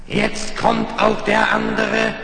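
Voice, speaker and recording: Gravelly, reverberating alien plasma creature. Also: male voice, sits high, flat delivery, full character voice. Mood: fearful, ominous. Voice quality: gravelly, creaking. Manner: slow, ominous declarations. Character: menacing, fearful alien plasma mass with captured human minds. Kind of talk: 1970s German radio play. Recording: long cavernous reverb over music.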